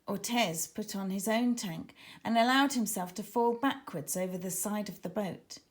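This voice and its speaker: A woman narrates a children's storybook. Her medium-pitched voice is expressive and dramatic, shaped for young listeners. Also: moderate pace. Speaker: author reading her own children's book.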